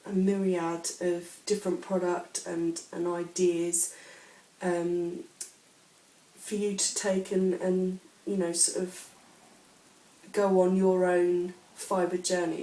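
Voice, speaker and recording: A woman's voice: fairly low pitch, dark tone, far from the mic. A woman hosting a casual craft podcast while she has a bad cold, sounding sniffly and hesitant, with plenty of ums and restarts. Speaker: podcast host. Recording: roomy echo, speaking away from the mic.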